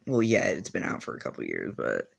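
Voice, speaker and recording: A man's voice: extremely low pitch, huge pitch swings, brisk, vocal fry, breathy edge. Rambling young male streamer. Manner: rambling, filler-heavy, self-correcting. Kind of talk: casual livestream chat reading comments.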